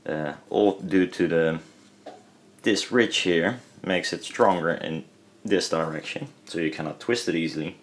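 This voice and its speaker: Low-pitched Dutch-accented man, rambling. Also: a little slow. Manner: hesitant, rambling, self-correcting. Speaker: hobbyist presenter. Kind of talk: casual hands-on product teardown commentary.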